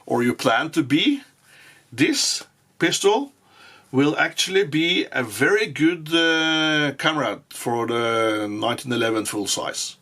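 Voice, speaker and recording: Norwegian man halting through a review. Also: mid-range voice, sharp tone. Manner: halting, many fillers and restarts. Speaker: gun reviewer. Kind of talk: casual product review.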